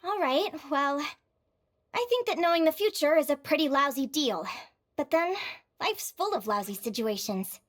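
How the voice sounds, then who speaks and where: A woman acting as an upbeat, self-assured young advisor in a video game, speaking in a friendly, expressive, conversational way. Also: high voice, moderate pace. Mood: reflective.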